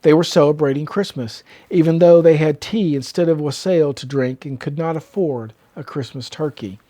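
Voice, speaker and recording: An older man narrating a children's storybook as storyteller, with expressive, measured read-aloud delivery. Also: a little high, no echo.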